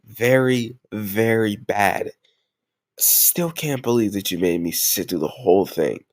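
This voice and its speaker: A man's voice: fairly low pitch, slow, darkish tone, dry recording. A man playing a tough gang leader who is a playful, doting boyfriend in an intimate roleplay audio, teasing and mock-grumbling affectionately. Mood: playful banter.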